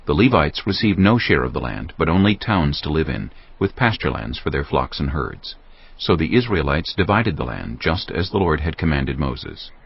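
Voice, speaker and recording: A man giving a measured, solemn scripted narration of scripture for an audio Bible. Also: a bass voice, sing-song, vocal fry, breathy edge, highs cut off. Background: prominent background music.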